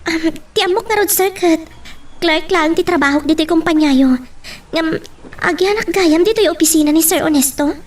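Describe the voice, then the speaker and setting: High-voiced, modest young office clerk played by a woman. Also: moderate pace, distant mic. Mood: polite, hesitant.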